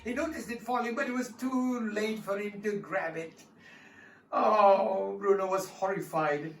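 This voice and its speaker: A male storyteller with a high, lively voice takes his time and draws the listeners in with direct questions. He sounds set back from the mic in a roomy space, with faint music behind him. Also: unhurried, far from the mic. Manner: expressive, speaks directly to the audience. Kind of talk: dramatised storytelling for children.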